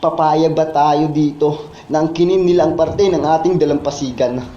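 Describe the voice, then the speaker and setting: A man's voice: a little high, fairly even intonation, moderate pace, warm tone. A man with a Filipino accent reciting spoken word poetry in a solemn, impassioned, declamatory manner. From Philippines. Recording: muffled, echoey, with background noise.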